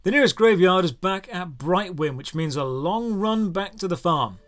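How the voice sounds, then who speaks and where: A man narrating a scripted video game review as its host, with a dry, sardonic and deliberate way of putting things. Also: high voice, animated, a bit bright, faint music behind.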